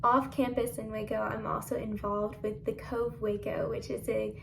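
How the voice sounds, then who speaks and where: Young woman with gravelly fry, distant mic. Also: mid-range voice, little pitch movement, normal speed. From Boerne, Texas. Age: young adult. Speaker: student. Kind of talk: casual video chat to camera. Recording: distant mic with clear background noise.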